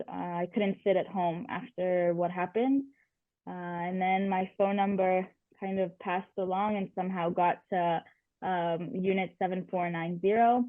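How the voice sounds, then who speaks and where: A woman's voice: mid-range voice, no room sound. A woman in her twenties who grew up in the United States, introducing herself casually as a reserve officer, with fillers. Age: 20s. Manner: casual, with fillers and self-corrections. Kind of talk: self-introduction in an interview. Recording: tinny phone-like line.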